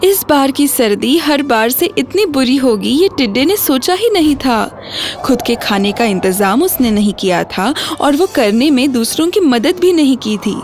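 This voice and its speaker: A woman's voice: sits high, animated, normal speed, darkish tone, slight background noise. A woman storyteller reading scripted narration for a children's bedtime story. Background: music.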